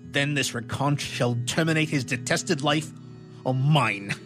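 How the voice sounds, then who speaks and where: A man's voice: mid-range voice, measured pace, music in the background, close to an everyday voice. A man playing an anguished, vengeful scientist in melodramatic, declamatory radio theatre style. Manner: declamatory, grandiose, archaic diction. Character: vengeful, anguished young scientist hunting his demon.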